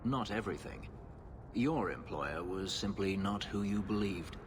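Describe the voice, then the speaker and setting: An actor playing a proud, brooding elf who escaped slavery, speaking in a formal, measured, stern way in a fantasy video game. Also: male voice, low-pitched, animated, background noise. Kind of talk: video game cutscene acting. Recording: music under the voice.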